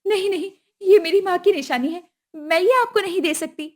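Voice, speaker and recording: A woman's voice: very high-pitched, moderate pace, pitched above the performer's own voice. A woman playing an earnest young bride. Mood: firm. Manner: earnest, polite, firm.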